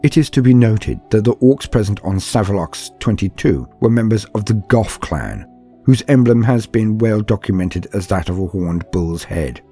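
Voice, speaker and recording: A man's voice: lowish voice, expressive, normal speed, mellow, husky, soft background music. A man voicing a grave, formal imperial military psyker who dictates a report in a clinical, pompous manner for a science-fiction story.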